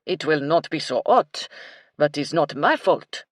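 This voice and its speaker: A woman's voice: low-pitched, lively intonation, a little slow, no echo, clean studio sound. A woman voicing a grumpy French kitchen man with a thick French accent in a story. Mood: grumbling.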